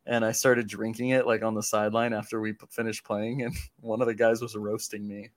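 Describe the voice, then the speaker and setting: A man's voice: on the low side, little pitch movement, a little fast, dry recording. Easygoing man, casual podcast co-host. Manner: easygoing, laughs readily. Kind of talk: casual chat.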